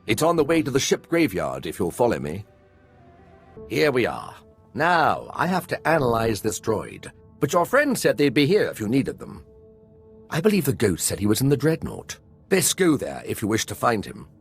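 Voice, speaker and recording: An actor playing an eager, nervous military artifact scholar in a video game, excitable and polite, with a slightly husky voice. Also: male voice, mid-range voice, normal speed, soft background music, heavily acted. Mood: chatty, wry.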